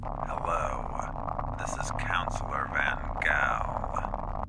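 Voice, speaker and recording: Man playing imperious corporate villain, gravelly and creaky, slow threatening delivery, audio drama, faintly noisy radio link. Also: extremely low pitch, heavily acted. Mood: impatient, formal. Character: menacing, self-important male corporate counselor villain. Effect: radio transmission with interference.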